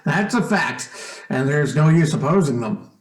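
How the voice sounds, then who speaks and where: An actor playing a shrewd, outwardly genial stepfather who probes with quiet menace in an old-time radio suspense drama. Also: a little high, lively intonation, reverberant. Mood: genial, probing. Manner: deliberate, probing, hesitant phrasing.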